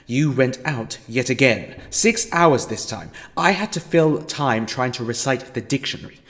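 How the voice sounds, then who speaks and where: A man's voice: medium pitch, animated, a little slow, fairly bright tone. A man playing a grandiose, monologuing villain. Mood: weary, candid. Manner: grandiose, monologuing, mock-apologetic. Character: scheming, theatrical male villain who toys with a captive human. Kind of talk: fan audio drama acting.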